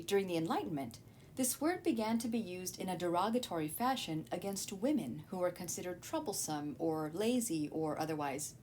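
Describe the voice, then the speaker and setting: A woman narrating a scripted educational lesson as a teacher, in a didactic manner with rhetorical questions and conversational asides. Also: warm tone. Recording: clear background noise with low rumble.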